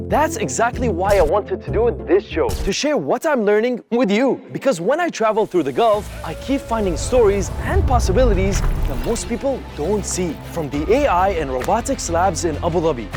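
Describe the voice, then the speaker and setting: Thirties male host, animated persuasive narration, music underneath. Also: high-pitched. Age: 30s. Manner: upbeat, persuasive storytelling. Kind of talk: scripted narration.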